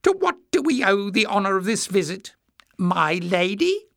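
A man's voice: extremely high pitch, sing-song, unhurried, creaky, fairly bright tone, no room sound, clean studio sound, pitched above the performer's own voice, heavily acted. A man voicing a small, malicious former acrobat in a story, sly and jeering with mock courtesy. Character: malicious little man, former palace acrobat, mocking and spiteful.

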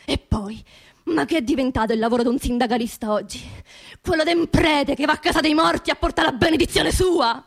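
Working-class Roman widow, high voice, raw grief and anger, intimate yet impassioned radio drama monologue in street dialect. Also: female voice, fairly quick. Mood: indignant. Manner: confiding, impassioned, repeating phrases for emphasis. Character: grieving, angry working-class widow of a construction worker.

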